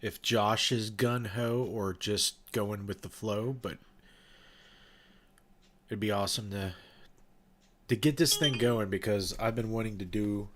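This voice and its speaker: A man with a low-set, congested voice, audibly nasal from a stuffy nose. He talks to his stream viewers in a loose, rambling way, drifting between thoughts with plenty of ums and restarts. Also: measured pace, long pauses, fairly bright tone, a little hiss or hum. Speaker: livestream host and ghost-hunting event organizer. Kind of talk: casual livestream chat with viewers.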